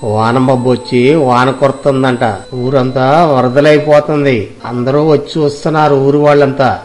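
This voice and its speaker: Mid-pitched gossiping village man played by an actor. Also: steady tone, normal speed, darkish tone, lightly acted. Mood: excited gossip. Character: gossiping male villager spreading strange news.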